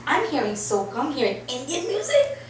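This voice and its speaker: Animated woman from Trinidad, roomy sound. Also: high voice, brisk. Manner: animated, acts out quoted reactions. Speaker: interview guest. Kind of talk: personal storytelling in an interview. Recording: roomy and distant, faint noise.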